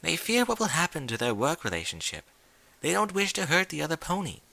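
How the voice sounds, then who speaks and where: Man voicing a dainty, high-pitched refined lady pony. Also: huge pitch swings, brisk, creaky, sharp tone, dry recording, heavily acted. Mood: grave, dramatic. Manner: genteel, formal, theatrical diction. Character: refined, dainty young female pony, gently prodding and dramatic.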